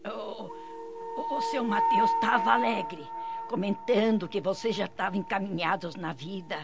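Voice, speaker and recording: A woman's voice: medium pitch, big pitch swings, a little slow, vocal fry. An actress playing a motherly, worried housemaid in a radio drama, hesitant and stammering as she talks. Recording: dull lo-fi radio drama, music behind.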